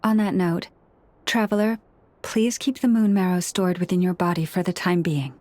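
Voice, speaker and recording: A woman voicing a gentle, earnest young moon priestess in a video game, speaking softly and with measure. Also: on the low side, fairly even intonation, slight background noise, close to an everyday voice. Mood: warm, polite. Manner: soft-spoken, earnest, measured.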